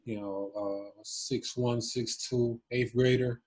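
A man in his twenties speaks as a guest in a casual interview. His voice is soft-edged and mellow, at an average pitch with little rise and fall. He is thoughtful and a bit hesitant, feeling his way through his answers. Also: fairly even intonation, takes it slowly, slightly rough, rough recording. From Cuba and Miami. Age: 20s. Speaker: interview guest, former basketball player. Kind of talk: casual reflective interview chat.

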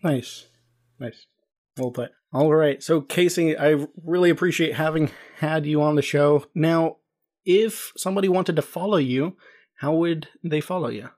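A man's voice: on the high side, a little slow, a little mellow. A man hosting a podcast interview in casual, informal conversation, often restarting his phrases.

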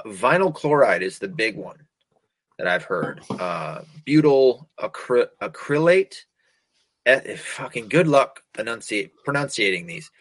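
A man's voice: on the low side, a bit bright, no echo. A man from the United States hosting a casual talk show, ranting sarcastically, swearing constantly and stumbling over hard words. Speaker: podcast host. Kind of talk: casual profane rant.

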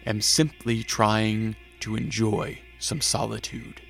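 Brooding male vampire storyteller: gravelly, measured, grave, over a prominent music bed. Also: fairly low pitch, fairly even intonation. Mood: grave, guarded. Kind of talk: scripted radio drama narration and dialogue.

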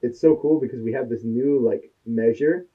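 A man's voice: mid-range voice, normal speed, husky. Chatty man on a tinny line. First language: English. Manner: chatty, tells anecdotes, quotes others in his stories. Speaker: podcast co-host. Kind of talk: casual podcast chat. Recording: tinny phone-like sound.

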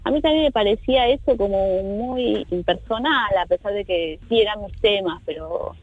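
Halting woman with a Buenos Aires accent. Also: medium pitch, dry recording, phone-quality sound. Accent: Buenos Aires Spanish. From Argentina. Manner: hesitant, many restarts and fillers. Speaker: musician guest. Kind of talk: casual interview chat.

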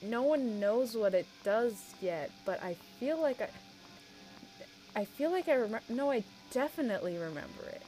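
A woman's voice: fairly high pitch, lively intonation, moderate pace, close to an everyday voice, low-quality recording. A woman playing a chatty sports radio announcer who thinks aloud in a conversational, scripted show with hesitant asides. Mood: puzzled, uneasy. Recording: music behind the voice.

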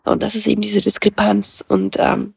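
A middle-aged woman whose first language is Russian talks in a gravelly, husky voice. Her pitch stays flat and level, and she pauses often to think. The sound is dry and close, with almost no room. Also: no echo. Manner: thoughtful, many hesitations and fillers. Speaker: guest. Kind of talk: casual conversation.

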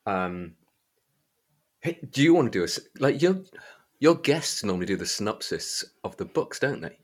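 A man's voice: on the low side, sing-song, moderate pace. A man hosting a podcast in a casual, chatty style. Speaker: podcast host. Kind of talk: casual chat.

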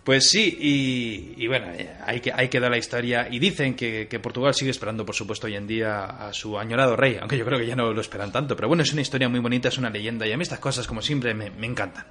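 A man co-hosting a history show, telling stories in a lively way with stammered restarts. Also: medium pitch, quick pace, few pauses. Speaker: history co-host. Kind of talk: casual history chat.

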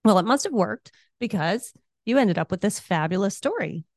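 A woman's voice: medium pitch, expressive, moderate pace, dry recording, studio quality. A woman co-hosting a school podcast in a conversational interview style.

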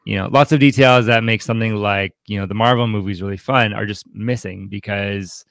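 A man hosting a podcast, talking through books casually in a halting, thoughtful, bookish way. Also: on the low side, fairly even intonation, fairly bright tone, no room sound, clean studio sound. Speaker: podcast host. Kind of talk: casual literary discussion.

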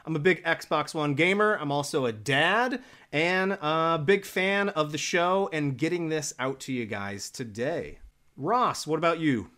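A man's voice: a little high, unhurried, bright, forceful tone. A man hosting a casual gaming podcast, upbeat and enthusiastic, a dad in his thirties. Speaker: podcast host.

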